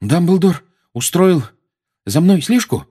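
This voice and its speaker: A man's voice: fairly high pitch, sing-song, moderate pace, a little mellow, husky, pitched above the performer's own voice. A man voicing an indignant teenage boy in an audiobook, urgent and strained. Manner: urgent, questioning.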